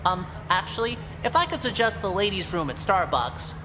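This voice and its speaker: A woman's voice: mid-range voice, very animated, moderate pace, lightly acted. A woman voicing a creepy, overeager, awkward nerdy young man in a visual novel game, with a fawning manner. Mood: eager, persuasive. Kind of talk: voiced visual novel dialogue. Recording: long hall reverb, clear background noise.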